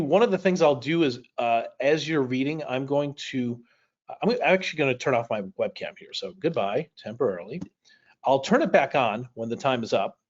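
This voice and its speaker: A man whose first language is Polish, teaching a livestream class in a casual, conversational way, speaking directly to viewers. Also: mid-range voice. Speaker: speed reading instructor. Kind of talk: livestream lesson, casual explaining. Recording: dull, lo-fi livestream audio.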